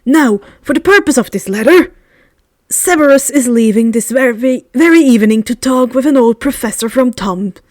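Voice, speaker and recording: A woman's voice: a little high, animated, moderate pace, darkish tone, no echo, natural voice. A woman voicing a kindly, whimsical elderly male wizard, genteel and wordy, as if reading out his letter in a storybook. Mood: genial, conspiratorial.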